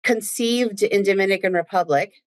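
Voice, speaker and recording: A woman who grew up speaking Spanish, a nun and daycare director telling her story with lively, acted-out moments. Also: mid-range voice, moderate pace. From United States. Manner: lively storyteller, acts out what she said. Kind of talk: casual interview chat.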